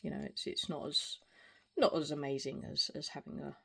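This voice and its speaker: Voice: mid-range voice, steady tone, normal speed, a bit bright. A person in their forties giving a casual, unscripted product review in a hesitant, rambling way. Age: 40s. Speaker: video reviewer. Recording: clear background noise, small clicks.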